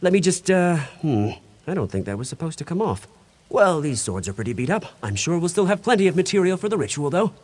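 A man playing an eccentric, excitable, cheerfully careless mage scholar in a fantasy video game, theatrical and given to muttering. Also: fairly high pitch, brisk. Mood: absent-minded, hopeful.

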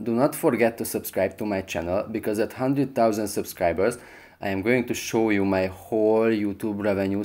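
Low-voiced man explaining an app. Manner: steady, plain instructional delivery. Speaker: tech tutorial host. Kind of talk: scripted screen-recording tutorial.

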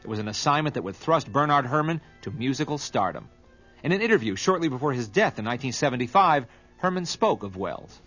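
Man, documentary narrator, lively intonation, husky, faint music behind. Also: medium pitch, fast talker, muffled recording. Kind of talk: scripted narration.

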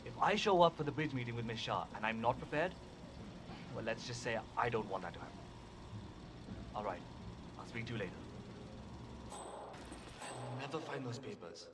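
An earnest, fretful man at a laundry played by an actor, speaking in a mid-range voice. It is businesslike game dialogue with clear ambient noise behind. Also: medium pitch, expressive, moderate pace, long pauses, natural voice. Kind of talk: acted game background dialogue.

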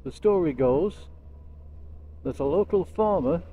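A man with a Lancashire accent giving casual, unhurried storytelling commentary as he rides a motorbike, speaking into a helmet mic. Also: a little high, animated, dark tone. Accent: Lancashire English. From Lancashire, England. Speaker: motorcycle vlogger. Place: outdoors on a moving motorbike. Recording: vehicle noise while riding.

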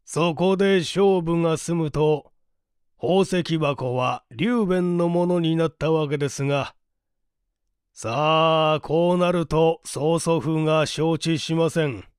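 Man acting polite mystery client, measured storyteller, slightly high male voice, close dry sound. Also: clear, resonant tone, no echo. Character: polite male client recounting a family scandal. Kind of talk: audiobook mystery reading.

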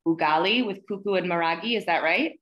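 Woman, thirties, lower-pitched, encouraging host, echoey video-call sound. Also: brisk, a bit bright. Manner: encouraging, praising. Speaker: host of a student poetry reading. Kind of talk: casual video-call hosting and poem reading. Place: plain indoor room, on a video call.

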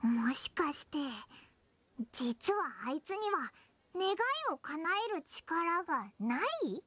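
An actress voicing a small, curious, childlike fairy companion in an anime video game, with a squeaky voice and rough boyish phrasing. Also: female voice, lively intonation, takes it slowly, a bit bright, dry recording, exaggerated voice. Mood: inquisitive. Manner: rough boyish sentence endings. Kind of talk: Japanese anime game acting. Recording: game music behind the voice.

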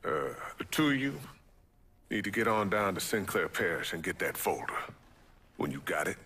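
A man's voice: low-pitched, big pitch swings, moderate pace, sharp tone, slightly rough, exaggerated voice. A man playing a grave, burdened middle-aged American civil rights leader in a video game, speaking emphatically. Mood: grave, concerned. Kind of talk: video game cutscene acting.

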